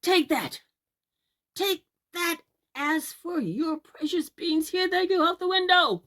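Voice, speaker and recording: A woman voicing an excitable, scolding poor widow in a children's storybook, dramatic and exclaiming. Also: extremely high pitch, slow pace, pitched above the performer's own voice, exaggerated voice. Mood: outraged.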